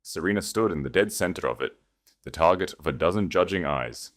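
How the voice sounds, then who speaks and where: A man's voice: low voice, expressive, brisk. A man narrating scripted fiction as a dramatic audiobook reader.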